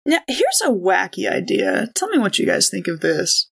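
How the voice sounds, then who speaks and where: A woman's voice: mid-range voice, big pitch swings, normal speed, vocal fry, a bit bright, slightly rough. A woman playing in a tabletop roleplaying podcast, talking casually and improvising in a chatty, rambling way. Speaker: player on a tabletop game podcast. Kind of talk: casual in-game chat, improvised.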